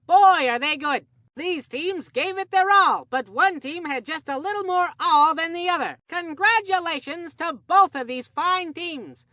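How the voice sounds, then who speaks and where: Chipper, goofy kid baseball announcer for a cartoon game; high voice, folksy mock-serious earnestness, close dry sound. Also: female voice, measured pace, pushed and bright, no echo, exaggerated voice. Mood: upbeat, earnest. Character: excitable, naive boy baseball commentator, chipper and goofy. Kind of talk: cartoon sports game commentary.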